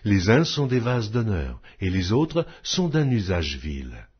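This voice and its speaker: A man narrating a Bible audiobook in a solemn scripture-reading style, with measured, declamatory phrasing. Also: on the low side, big pitch swings, breathy edge, dull audio.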